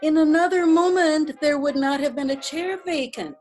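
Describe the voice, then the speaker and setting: A woman playing a modest, elderly widow, mild and gently reproachful, in a readers theatre play. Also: sits high, moderate pace, soft background music, lightly acted.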